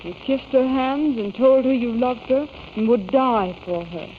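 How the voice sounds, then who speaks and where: An actress playing a hurt, jealous young sweetheart of a space hero in 1930s radio serial style. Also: female voice, on the high side, unhurried, close to an everyday voice. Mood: hurt, accusing. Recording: muffled hissy old radio recording.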